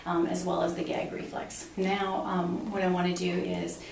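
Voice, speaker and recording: A woman's voice: lowish voice, little pitch movement, moderate pace, darkish tone. Middle-aged female clinician, instructing step by step, distant mic in a reverberant, noisy room. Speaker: clinical examiner demonstrating a physical exam. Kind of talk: instructional exam talk, explaining each step to a patient. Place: small cinder-block exam room, indoors. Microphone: camera mic from across the room.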